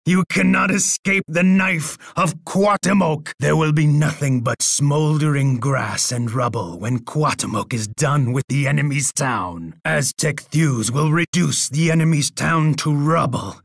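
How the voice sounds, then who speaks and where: A proud Aztec warlord for a strategy video game. His voice is husky and dry, and he delivers his lines slowly. He is imperious and boastful, with a bloodthirsty edge. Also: a little high, no echo. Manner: imperious, boastful, speaking of himself in third person.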